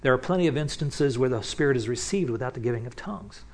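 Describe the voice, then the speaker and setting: A man teaching from the Bible in a relaxed, conversational way, often restarting sentences and picking up energy as he warms to a point. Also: medium pitch, quick pace. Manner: casual, with many restarts and asides. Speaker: pastor.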